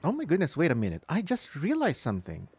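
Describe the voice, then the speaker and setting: A man's voice: fairly high pitch, wildly swooping pitch, quick pace, darkish tone, breathy edge, no echo. A man giving live playthrough commentary as a gaming streamer, musing aloud and breaking into exclamations.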